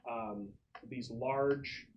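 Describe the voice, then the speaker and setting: A man running a tabletop game as game master, describing scenes off the cuff and pausing as he searches for words. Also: mid-range voice, lo-fi sound, a little hiss or hum. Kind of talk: improvised tabletop game narration.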